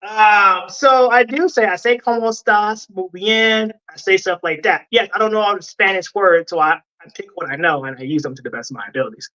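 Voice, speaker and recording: A man's voice: high voice, fairly quick, few pauses, pushed and bright. Defensive man on lo-fi audio. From United States, Nebraska. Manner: defensive, repeats himself insistently. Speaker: guest. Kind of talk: interview answers.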